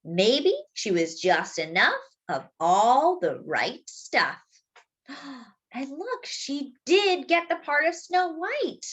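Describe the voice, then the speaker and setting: A woman reading a children's story aloud expressively, phrasing deliberately as if to young listeners. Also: high-pitched, very animated, fairly bright tone. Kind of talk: expressive picture-book read-aloud with asides to young listeners.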